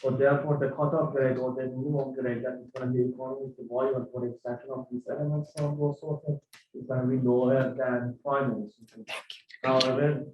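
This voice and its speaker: A man presenting research in a flat, even tone that barely rises or falls. The recording is dull and lo-fi, picked up from across an echoey hall with a faint hum behind him. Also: medium pitch, fairly quick, recorded from a distance. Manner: flat, steady, with frequent fillers and restarts. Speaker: panelist researcher. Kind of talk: academic presentation. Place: university hall.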